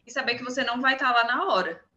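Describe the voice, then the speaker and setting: A woman's voice: fairly high pitch, fast talker, pushed and bright. A young woman with a Brazilian Portuguese accent, a podcast guest casually sharing advice and experience over an online call. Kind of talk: casual conversation, giving advice.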